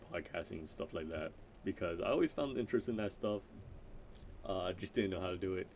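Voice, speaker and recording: A young man with a deep voice chatting casually and unscripted, rambling and often hesitantly cutting himself off. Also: a little mellow, slight background noise. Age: young adult. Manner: rambling, hesitant, self-interrupting. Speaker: gaming vlogger introducing himself.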